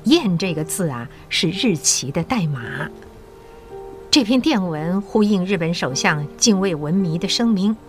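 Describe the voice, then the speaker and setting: Mature female principal, slightly low voice, expressive swings, authoritative, encouraging, patriotic, radio drama. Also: soft background music. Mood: earnest indignation. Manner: measured, persuasive, authoritative. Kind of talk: audio drama acting.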